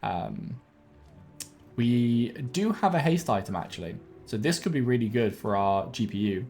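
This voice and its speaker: A young man gives relaxed gameplay commentary from his home setup, sounding a little sniffly and bunged up. He thinks aloud and corrects himself as he goes, his voice at an ordinary pitch, with faint game music under him. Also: a little slow. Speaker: game streamer. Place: home room with webcam.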